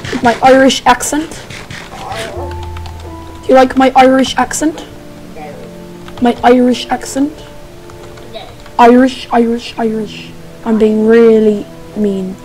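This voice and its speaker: Young female gamer, excitable and playful, higher voice, slow delivery, light music bed. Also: low-quality recording. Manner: excitable, squealing, playful sing-song noises. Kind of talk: casual let's play commentary.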